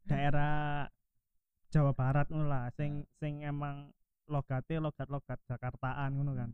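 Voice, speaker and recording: A man's voice: fairly high pitch, level tone, unhurried, smooth, dry recording, narrow, tinny audio. A young man explaining his view at length in a casual chat. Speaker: chat participant.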